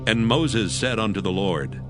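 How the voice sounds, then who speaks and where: A man with a medium-pitched, very lively voice narrates scripture dramatically. Music plays clearly behind his scripted reading. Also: measured pace.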